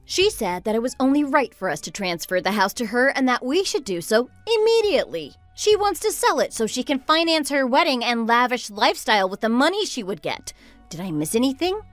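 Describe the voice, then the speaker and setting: A woman playing an indignant, sarcastic wife in a dramatized first-person story, with an expressive, exasperated delivery. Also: on the high side, a little fast, no room sound, soft background music. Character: indignant, sarcastic wife at the end of her patience.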